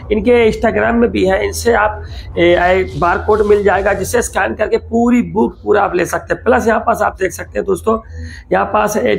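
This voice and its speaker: A man's voice: high-pitched, gravelly. A man giving a casual, rambling product showcase as a vlogger, repeating himself and addressing viewers like friends.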